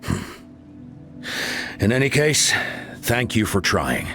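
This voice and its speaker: A deep-voiced solemn elder. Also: male voice, very animated, slow, fairly bright tone, soft background music, full character voice. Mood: grave. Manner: formal, explanatory, somber. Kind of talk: video game voice acting.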